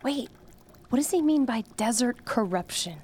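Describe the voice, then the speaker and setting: A woman playing a wry, knowing veteran conspirator in an audio drama, speaking in a measured way. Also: fairly high pitch, lively intonation, darkish tone, a little hiss or hum, lightly acted.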